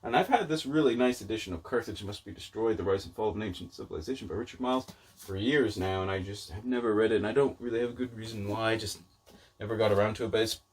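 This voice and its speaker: A man with a fairly low voice chats casually to his viewers as a vlog host. He rambles and hedges, often doubling back to rephrase what he has just begun to say. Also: fast. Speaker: book vlogger and channel host. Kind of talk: casual chat to camera.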